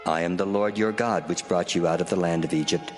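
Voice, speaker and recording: An actor playing God as a solemn, authoritative divine voice in a dramatized scripture reading. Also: male voice, low voice, steady tone, a little fast, lightly acted. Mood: solemn, majestic. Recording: long hall reverb, faint music.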